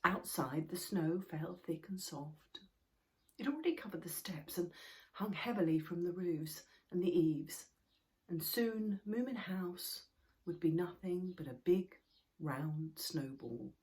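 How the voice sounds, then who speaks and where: A woman narrating a children's story expressively and deliberately, sometimes dropping into a hush. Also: fairly low pitch, low-quality recording. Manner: expressive, deliberate, with hushed passages.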